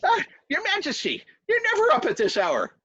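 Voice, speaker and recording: A man voicing a flustered, deferential Irish castle servant in a folk tale, excitable and squeaky. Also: normal speed, dry recording, highs cut off, heavily acted. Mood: jovial surprise.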